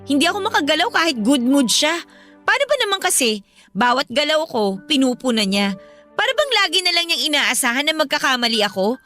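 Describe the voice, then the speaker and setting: A woman's voice: sits high, expressive, a little fast, pushed and bright, soft background music. A woman with a Filipino accent playing a frustrated, plaintive eighteen-year-old daughter in a radio drama. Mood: hurt, complaining. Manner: plaintive, complaining.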